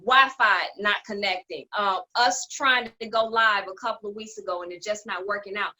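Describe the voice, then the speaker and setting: A woman's voice: medium pitch, bright, forceful tone, rough recording. A woman hosting a casual cast discussion over a video call from home, speaking in an exuberant, emphatic way and often laughing. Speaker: host, playwright and producer of the cast. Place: at home indoors.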